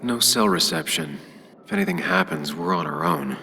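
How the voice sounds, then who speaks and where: An actor playing a brooding, cautious journalist-detective with an American accent and a terse, serious manner in a video game. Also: male voice, low-pitched, lively intonation, moderate pace, pushed and bright, long reverb tail, slight background noise. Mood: guarded, focused.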